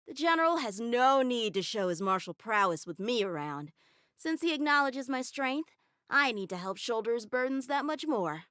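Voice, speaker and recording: A woman's voice: on the high side, sing-song, moderate pace, a bit bright, clean tone, no room sound, clean studio sound. A woman voicing an eager, earnest teenage boy swordsman in a game, talking in a boyish, cheerful way. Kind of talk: game character voice lines.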